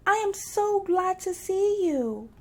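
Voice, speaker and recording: A woman's voice: very high-pitched, animated, slow, darkish tone, pitched above the performer's own voice, exaggerated voice. A woman voicing a fussy, anxious, bossy male rabbit in a children's storybook, emphatic and insistent. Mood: frantic.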